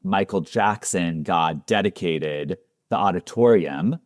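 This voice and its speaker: A middle-aged man from Israel, telling his life story in a relaxed, affectionate way as a podcast guest. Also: low-pitched, slow pace, dry recording, clean studio sound. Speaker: guest hairstylist. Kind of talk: casual interview talk.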